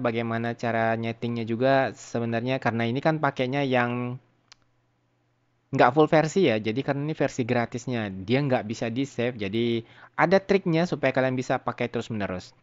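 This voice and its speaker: Guy doing a chill software tutorial, slangy and chatty, mid-pitched voice. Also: moderate pace, smooth, muffled recording. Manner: informal, slangy, chatty, addressing viewers. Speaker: tutorial YouTuber.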